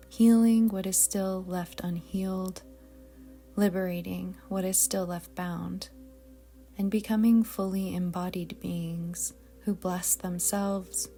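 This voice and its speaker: A woman guiding a yoga nidra meditation in a soft, breathy, calm and deliberate voice that often trails toward a whisper. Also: lowish voice. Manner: calm, soothing, gentle, deliberate. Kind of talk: slow guided meditation narration.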